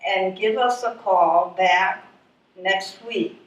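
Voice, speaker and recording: A woman's voice: medium pitch, slow, muffled recording, close to an everyday voice. A woman playing a polite, apologetic but unhelpful soup charity worker in a readers theatre performance. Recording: echoey distant stage mic, background noise.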